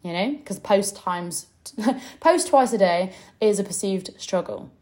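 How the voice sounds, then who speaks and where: A woman's voice: mid-range voice, expressive, takes it slowly, mellow. A young adult woman, a business coach hosting her own podcast, teaching in a chatty, direct way with mild swearing. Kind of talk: casual teaching podcast monologue.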